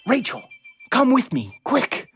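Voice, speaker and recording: An awkward, anxious middle-aged father in an audio play. He, with halting, earnest speech that swings widely in pitch. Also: wildly swooping pitch, fairly bright tone, breathy edge, faint music behind, heavily acted. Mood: eager, hopeful. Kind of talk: naturalistic audio play acting.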